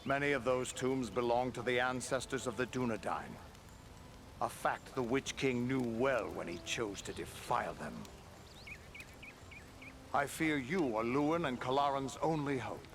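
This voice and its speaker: A man playing a grave, dutiful ranger captain in a fantasy video game, speaking in formal, measured, archaic phrases. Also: medium pitch, a bit bright, a little hiss or hum. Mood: grave, urgent. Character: grave, dutiful male ranger captain, concerned for his men.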